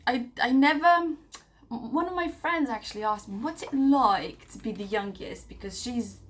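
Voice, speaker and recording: A woman's voice: a little high, normal speed. A woman in her late twenties from Serbia, chatting casually to the camera in a personal vlog, rambling with fillers and self-corrections. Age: 20s. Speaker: vlogger.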